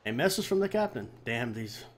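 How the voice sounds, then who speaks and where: A man's voice: medium pitch, big pitch swings, brisk, a little hiss or hum, close to an everyday voice. A man with a cold reading the lines of a dutiful, obedient soldier in a video game, formal and stumbling. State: has a cold. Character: dutiful male soldier, gruff about enemies, obedient. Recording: game music behind the voice.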